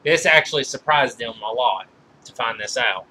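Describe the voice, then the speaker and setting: A man giving informal film trivia commentary as a reviewer, halting and often correcting himself mid-sentence. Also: mid-range voice, expressive, sharp tone, a little hiss or hum, low-quality recording. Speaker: movie reviewer.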